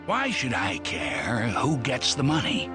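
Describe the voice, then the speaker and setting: A man's voice: medium pitch, huge pitch swings. An actor playing a weary, embittered rich old man in a dramatic, brooding audiobook narration. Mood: weary, defiant. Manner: deliberate, brooding, theatrical. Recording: music behind the voice.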